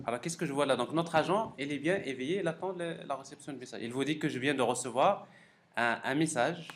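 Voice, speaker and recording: A man giving a live technical software lecture as a professor, halting and repeating words as he thinks aloud. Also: mid-range voice. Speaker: university professor teaching a class. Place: classroom during a live course session. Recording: clearly noisy classroom recording.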